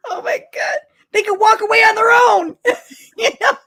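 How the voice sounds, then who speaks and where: A middle-aged woman co-hosting a live podcast, chatting casually with an animated, giggly and excitable manner. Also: extremely high pitch, normal speed, gravelly, raised voice. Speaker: podcast co-host. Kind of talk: casual fan chat on a live stream.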